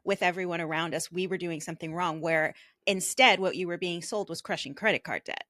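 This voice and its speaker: A woman's voice: fairly low pitch, steady tone, a little fast, fairly bright tone, no echo, clean studio sound. A woman podcast guest from the US speaking frankly and expressively in a casual interview. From USA. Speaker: podcast guest, network founder.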